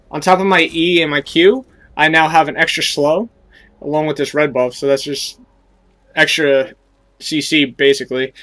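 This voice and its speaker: A man's voice: on the high side, pushed and bright. Stuffy-voiced young man explaining his game. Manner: explanatory, coaching tone with many fillers. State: stuffy nose and sore throat from a cold. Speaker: gameplay commentator. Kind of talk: casual game commentary.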